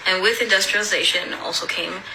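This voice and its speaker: A woman's voice: mid-range voice, a little fast, pushed and bright, slight background noise, rough recording. A woman whose first language is Spanish, making a point-by-point rebuttal video and arguing with indignation. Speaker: response video creator. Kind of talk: rebuttal commentary to camera.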